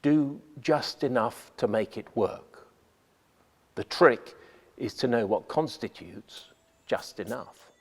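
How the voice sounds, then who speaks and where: Slow-paced man lecturing in a reverberant space. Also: medium pitch, lively intonation, slightly rough. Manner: measured, deliberate, emphatic phrasing. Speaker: radio playwright giving writing advice. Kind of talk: scripted instructional narration.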